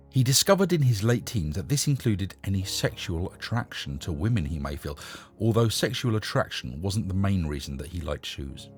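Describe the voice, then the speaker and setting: A man with a husky voice narrating a short story in a measured, expressive storytelling manner. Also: on the low side. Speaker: narrator and host reading short stories. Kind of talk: scripted story narration with a short casual introduction.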